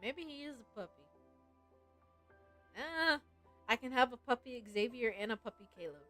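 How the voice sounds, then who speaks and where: A woman's voice: on the high side, lively intonation, normal speed, a bit bright. A playful, giggly woman streaming a game, chatting casually and reading story text aloud. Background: game music.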